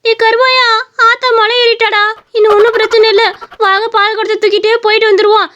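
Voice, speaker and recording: A woman voicing a bossy, theatrical village woman in an animated comedy, insistent, with rural Tamil Nadu speech. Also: very high-pitched, a little fast, muffled recording. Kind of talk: animated comedy story voice acting.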